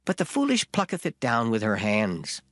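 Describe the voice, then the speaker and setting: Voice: medium pitch, huge pitch swings, normal speed, dry recording, studio quality. A reader giving a dramatized delivery of scripture in formal old English, emphatic and solemn. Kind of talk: dramatized Bible reading, formal King James English. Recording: loud music behind the voice. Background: music.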